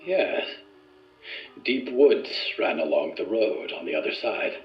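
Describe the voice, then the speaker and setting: An actor playing a formal, earnest male research subject in an audio drama, with measured, deliberate wording. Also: deep voice, sing-song, heavily acted. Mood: dreamy, recollecting. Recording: echoey room, muffled, music behind.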